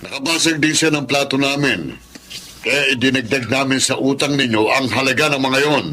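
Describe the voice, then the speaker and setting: A man's voice: mid-range voice, normal speed, bright, forceful tone, a little hiss or hum, natural voice. An actor playing a stern middle-aged father and moneylender with a Filipino accent in a radio drama.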